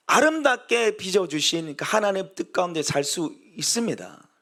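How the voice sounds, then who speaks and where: A man's voice: sits high, vocal fry, a bit bright. A man who is a pastor, preaching a sermon in a storytelling way, acting out quoted voices and building to emphatic exhortation.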